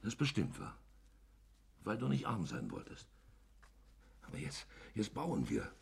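An evasive, blustering husband and shopkeeper in a radio play. His gravelly voice swings animatedly up and down. He repeats words and stammers as he justifies himself. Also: lowish voice, wildly swooping pitch, unhurried, heavily acted. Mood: placating. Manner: repetitive, stammering self-justification. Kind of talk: German radio drama acting.

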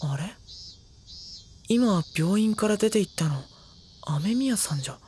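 A woman playing a gentle, reserved high school boy in an anime audio drama, soft-spoken, hesitant and polite, with anguish held inside. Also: lively intonation, unhurried, noisy background, lightly acted. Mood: hesitant, apologetic. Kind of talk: anime audio drama acting with inner monologue. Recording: studio drama with music underneath.

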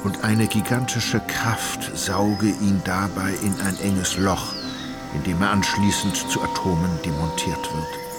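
A wry literary narrator telling a radio drama. His voice is fairly low and measured, laced with irony, and prominent music runs beneath it. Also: steady tone. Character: ironic, literary male narrator. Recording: loud music under the narration.

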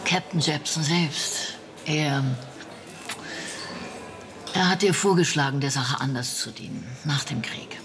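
Radio play casting: female wartime intelligence officer, gravelly, brooding, measured, self-doubting. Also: sits low. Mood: reflective, uneasy. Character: British female intelligence officer, sombre, doubting, brooding over lost agents. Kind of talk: radio drama acting with inner monologue.

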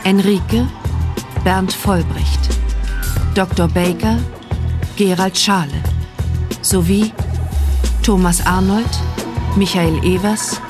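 A woman's voice: medium pitch, fairly even intonation, slow pace, long pauses, over music. A woman announcer reading scripted credits for a radio drama.

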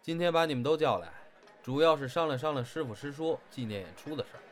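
An actor playing a steady, fond young stage performer, a matter-of-fact older brother type with a northern Chinese accent. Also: male voice, a little high, fast, faint music behind, close to an everyday voice. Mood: businesslike. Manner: matter-of-fact, gently scolding. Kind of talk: audio drama acting.

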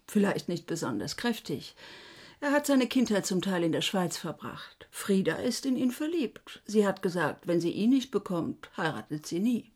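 An actress playing a dutiful middle-aged wife and mother in a radio drama, her voice husky and breathy. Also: mid-range voice, darkish tone. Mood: tentative, hopeful. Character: dutiful, struggling wife and mother reporting family news.

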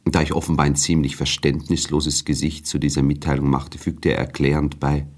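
A very deep-voiced man narrates a radio play as a refined, observant storyteller. His delivery is composed and measured, with articulate literary phrasing. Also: flat delivery. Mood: composed, narrating. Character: refined male first-person narrator of a novella, observant and composed.

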